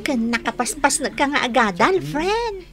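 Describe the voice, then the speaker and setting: A woman's voice: high voice, very animated, normal speed, over music. A woman playing a perky, teasing young student friend in a radio drama, with a Filipino accent. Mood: playful, excited. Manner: teasing, playful.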